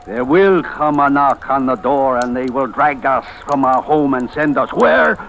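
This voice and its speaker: An actor playing a proud, bitter, desperate older husband in a theatrical old radio drama, imperious and sarcastic. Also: male voice, medium pitch, a little slow, a bit bright. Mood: anguished dread. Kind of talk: 1940s-50s radio drama acting. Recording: noisy vintage radio recording.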